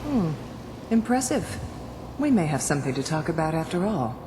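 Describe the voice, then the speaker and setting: Imperious game official, low and formal, commanding, in a reverberant hall. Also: female voice, very animated, moderate pace, heavy background noise. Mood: cool authority. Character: cool, imperious female official of a secretive organisation. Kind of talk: video game voice acting.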